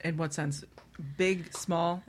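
A woman's voice: on the low side, steady tone, slow pace. A woman hosting a radio talk show, chatting casually and putting questions to the others. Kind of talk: casual chat, posing questions.

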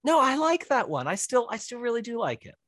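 A man chatting as an interview guest, wry and self-deprecating, often halting as he explains things. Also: very high-pitched, big pitch swings, a bit bright, no room sound, clean studio sound. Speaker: podcast guest, writer and director. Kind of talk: casual conversational interview.

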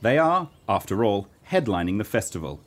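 A man's voice: lowish voice, very animated, moderate pace, a little hiss or hum. A man narrating a scripted comedy radio play in a mock-serious storytelling manner.

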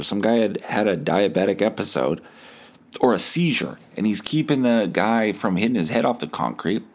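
Low-pitched man telling comedic stories. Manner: animated, acts out quoted dialogue; profane. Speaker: podcast host. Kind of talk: casual comedic storytelling.

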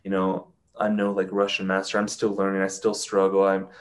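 A man's voice: low-pitched, monotone, fairly quick. A man chatting casually as a guest, talking at length with many "you know" fillers. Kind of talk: casual chat.

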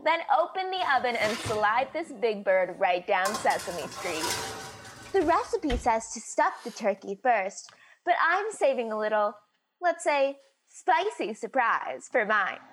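A woman's voice: high-pitched, a bit bright, a little hiss or hum, heavily acted. A woman playing a bitter, scheming housewife who hosts a cooking show in a comedy radio play, mock-cheerful and sardonic.

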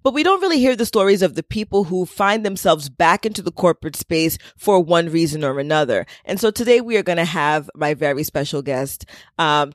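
A woman hosting an interview podcast, friendly and quick to laugh. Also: on the low side, no echo, studio quality. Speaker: podcast host. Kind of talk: casual interview chat.

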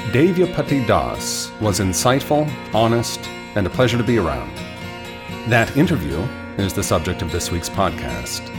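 American guy doing a podcast intro or interview, lowish voice, really varied intonation, music.

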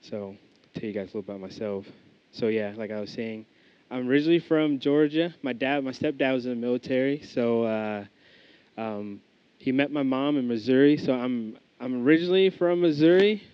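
A man's voice: mid-range voice, moderate pace, dry recording, highs cut off. A young American man giving an informal sermon, reading scripture, praying and telling his own story in a casual, rambling way with many fillers and restarts. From United States. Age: young adult. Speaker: student preacher. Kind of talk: informal sermon with prayer and testimony.

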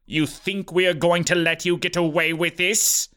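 An angry young wizard who talks slowly and spits his words out with defiance, as a man narrator puts him on. The voice sits higher than most men's. The storybook reading gives him a hostile edge. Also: fairly even intonation, a bit bright, clean tone. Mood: defiant. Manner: slow, spitting defiance.

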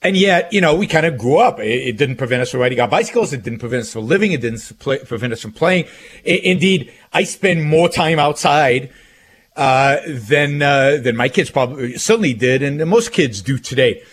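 A man in his sixties, from Israel, hosting his own radio show and talking through memories in a halting, reflective way with many restarts. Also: medium pitch, expressive. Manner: halting, frequent restarts and repeated phrases; reflective, emphatic repetition. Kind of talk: personal monologue on radio.

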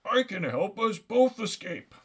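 A man's voice: high-pitched, big pitch swings, measured pace, fairly bright tone, breathy edge, voice pushed up, heavily acted. A man voicing an urgent, grimly resigned immortal Santa held captive, in a comic story. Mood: desperate pleading.

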